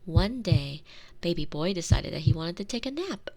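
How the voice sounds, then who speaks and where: A woman playing a tender, protective girlfriend in an intimate ASMR roleplay, speaking soothingly and softly into a close binaural microphone with lingering phrases. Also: fairly low pitch, big pitch swings, low-quality recording. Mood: gentle storytelling. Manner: soothing, breathy near-whispers, lingering drawn-out phrases. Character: tender, protective girlfriend soothing her frightened lover to sleep.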